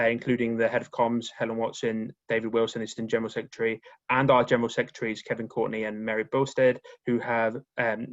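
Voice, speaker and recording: A man, a union marketing officer, giving a presentation on a conference call in a halting way, with many restarts and repeated words. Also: medium pitch, highs cut off. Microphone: remote call audio.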